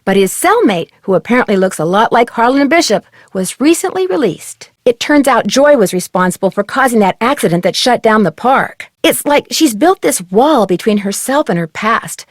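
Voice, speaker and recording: Curious, high-voiced young girl detective. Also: expressive, normal speed, few pauses, dry recording, studio quality. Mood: intrigued, serious. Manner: articulate, inquisitive, chatty. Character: curious, earnest teenage girl detective on the phone. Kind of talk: scripted video game dialogue, conversational.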